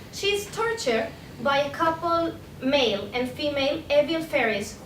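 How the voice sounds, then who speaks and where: A young woman reads an academic paper aloud at a conference, carefully, with occasional stumbles and self-corrections. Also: fairly bright tone. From Spain. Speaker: PhD candidate presenting a paper. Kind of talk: academic conference talk, read from a prepared script. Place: indoor room at an academic conference. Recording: echoey room, distant mic, faint rumbling noise.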